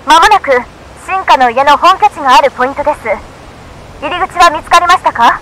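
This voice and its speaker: A woman as the brisk, polite dispatcher guiding heroes in an anime game, high voice over faint noise. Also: lightly acted. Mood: attentive concern. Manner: polite, businesslike. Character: brisk, dutiful female mission operator guiding a hero. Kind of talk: Japanese anime game acting.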